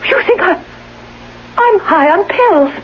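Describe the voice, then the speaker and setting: An actress playing a frightened, bedridden wife pleading for help in an overwrought, emphatic way, in a 1980s radio drama. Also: female voice, very high-pitched, expressive, slow, exaggerated voice. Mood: agitated, distraught. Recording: dull, hissy old radio recording.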